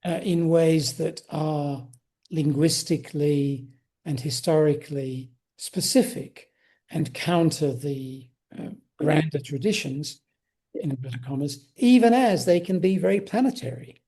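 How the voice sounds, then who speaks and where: Husky, mellow male podcast host. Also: a little high. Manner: measured, academic phrasing. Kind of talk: interview conversation.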